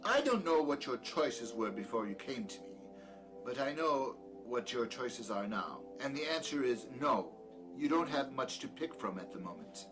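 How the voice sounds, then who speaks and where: A man's voice: medium pitch, very animated, normal speed, natural voice, poor audio. A man playing a peculiar, condescending doctor with a dry, sardonic manner in an audio drama, secretly sinister. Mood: impatient, scornful. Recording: music behind the voice.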